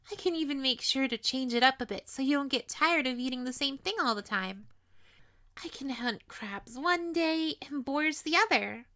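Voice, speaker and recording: High-voiced, possessive lamia girl, played by a woman. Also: fairly bright tone, heavily acted. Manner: coaxing, teasing, addressing listener with pet names. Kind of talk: intimate roleplay audio drama monologue.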